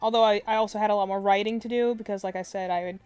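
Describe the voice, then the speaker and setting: A young woman with a quiet, soft voice chatting casually on a game stream, rambling with lots of fillers and restarts, close to her desk mic. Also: mid-range voice, fairly even intonation, very fast, smooth, no room sound, muffled recording. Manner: rambling, filler-heavy, self-interrupting. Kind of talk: casual live-stream chat. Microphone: desk mic close to face.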